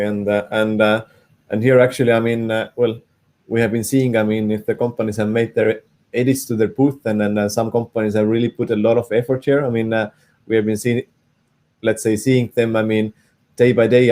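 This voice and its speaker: A man runs an online event over a video call. His voice is low and flat. He speaks haltingly and leans on "I mean" and "uh". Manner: halting, heavy "I mean" and "uh" fillers. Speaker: event organizer. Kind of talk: casual spoken chat.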